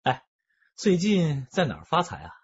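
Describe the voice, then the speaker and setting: A man's voice: a little high, wildly swooping pitch, normal speed, slightly rough, lo-fi sound, exaggerated voice. An actor playing a shrewd male police detective in a radio drama, with a wry, probing manner. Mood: teasing.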